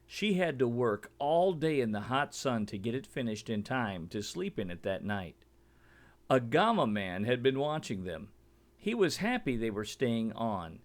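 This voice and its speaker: A man reading a children's story aloud in an expressive, deliberate storytelling manner. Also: mid-range voice. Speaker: storybook reader.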